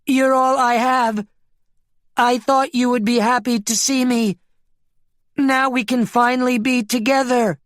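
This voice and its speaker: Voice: medium pitch, fairly even intonation, unhurried, long pauses, darkish tone, no room sound, studio quality, voice pushed up, close to an everyday voice. A narrator voicing an earnest, pleading female sheep with a woman's mind in an audiobook story. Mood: desperate pleading.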